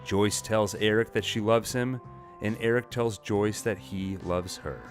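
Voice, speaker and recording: A man's voice: fairly low pitch, fairly even intonation, unhurried. A man giving a scripted, dramatized narration of a comic story. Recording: music bed behind the voice.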